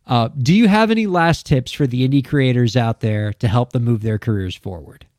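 A man's voice: medium pitch, moderate pace, darkish tone, no echo, clean studio sound. A man hosting a radio interview show, enthusiastic and effusive with his guest. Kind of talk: casual interview chat.

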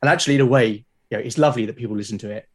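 A British man speaks as a guest in a conversational interview. His voice sits at an average pitch for a man. He is reflective and halting, often restarting a phrase as he explains how he works. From Britain. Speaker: podcast guest, creator of a solo role-playing show.

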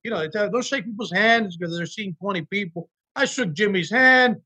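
A middle-aged man from Miami, a guest actor telling animated stories about auditions in a casual interview. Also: high voice, a little fast, slightly rough. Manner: animated storyteller, acts out quotes. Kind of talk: casual podcast interview storytelling.

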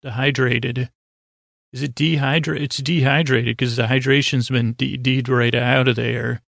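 Gravelly, meandering male podcast host. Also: mid-range voice, level tone, measured pace, fairly bright tone, no room sound. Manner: meandering, stammering, keeps derailing himself. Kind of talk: rambling bedtime podcast monologue.

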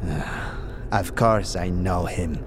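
An actor playing a sickly, suspicious undead mortician in an audio drama, weary and formal, with halting, drawn-out phrasing. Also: male voice, low-pitched, slight background noise. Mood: disdainful.

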